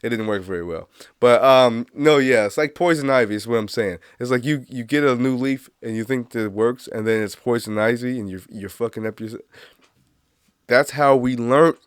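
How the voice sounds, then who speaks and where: A man's voice: medium pitch, normal speed, smooth, no room sound. Male solo host, congested, loose and rambling, dry close sound. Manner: rambling, laughs at own jokes, swears freely. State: stuffy nose, congested. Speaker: podcast host. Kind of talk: casual solo monologue.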